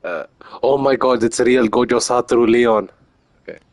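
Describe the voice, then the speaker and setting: A man's voice: medium pitch, normal speed, dry recording. A man streaming a game with casual, rambling, slangy commentary. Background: faint game music.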